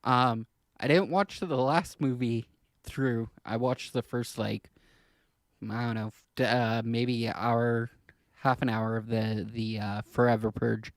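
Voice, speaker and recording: A man co-hosting a podcast in casual discussion, speaking hesitantly in halting, trailing clauses. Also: mid-range voice, fairly even intonation, dry recording. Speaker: podcast co-host.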